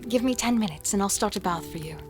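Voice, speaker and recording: An actress playing a caring, devoted young healer in a dark fantasy audio drama, speaking softly and intimately. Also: female voice, mid-range voice, quick pace, close to an everyday voice. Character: caring, soft-spoken young female healer, devoted and mysterious. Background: music with rain sounds.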